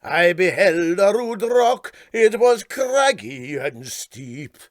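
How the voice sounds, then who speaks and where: A man voicing a vain, sentimental and self-important steamboat skipper with a Scottish Highland accent, for a storybook. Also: sing-song, slow, no echo, clean studio sound, full character voice. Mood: grandly warbling. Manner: ponderous, self-important, hesitant.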